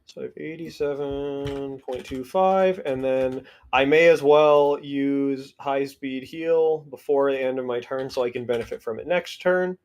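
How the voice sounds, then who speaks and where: A man's voice: mid-range voice, a little slow, hardly any pauses. A man playing a tabletop roleplay game, talking casually and matter-of-factly through his turn.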